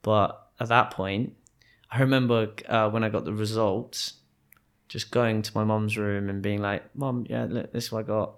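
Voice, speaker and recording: A man's voice: on the low side, steady tone, normal speed, smooth. A man born in Kenya, a podcast guest, telling his life story in a hesitant, reflective way with many restarts and fillers.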